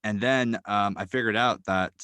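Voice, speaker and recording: Guy with a low voice rambling on a podcast from his closet, blanket over the mic, super dry sound. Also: steady tone, moderate pace, sharp tone, smooth, dry recording. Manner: rambling, lots of ums and likes. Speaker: podcast guest. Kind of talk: casual chat. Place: small cramped closet. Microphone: microphone wrapped in a blanket.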